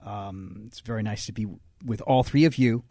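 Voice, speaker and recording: A man hosting a radio interview, asking questions in a measured, polite way. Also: lowish voice, mellow, no echo, clean studio sound. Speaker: radio host.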